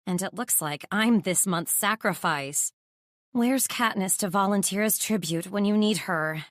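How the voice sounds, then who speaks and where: A woman's voice: medium pitch, measured pace, no echo, clean studio sound, natural voice. A woman playing an anxious, wry young assistant, narrating a first-person romance audiobook in a dramatic, self-deprecating way.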